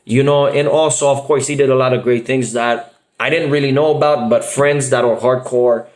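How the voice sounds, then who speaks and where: A man's voice: mid-range voice, steady tone, normal speed, a little mellow. A middle-aged man chatting casually and earnestly as a video host, with emphatic repetition and slangy asides. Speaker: host of a reaction video channel. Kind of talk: casual chat. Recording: echoey, roomy sound.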